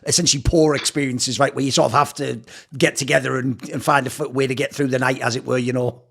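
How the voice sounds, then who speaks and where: A man's voice: mid-range voice, little pitch movement, fast talker, creaky, fairly bright tone. A man hosting a casual interview, explaining things at length in an emphatic, chatty way. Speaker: interviewer, talk show host.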